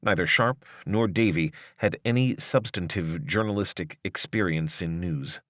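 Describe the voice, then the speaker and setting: Male narrator, deep voice, dry close sound, measured article reading, articulate. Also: fairly bright tone, no room sound. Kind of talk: scripted long-form journalism narration.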